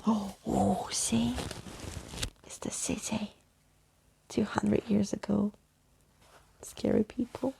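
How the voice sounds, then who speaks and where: A woman storyteller reading aloud in a soft-spoken ASMR bedtime style. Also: medium pitch, little pitch movement, slow, creaky, long pauses.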